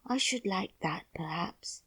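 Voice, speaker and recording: A woman voicing a shy young boy lying in bed, speaking softly. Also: mid-range voice, normal speed, no echo, natural voice. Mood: drowsy. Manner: shy and drowsy.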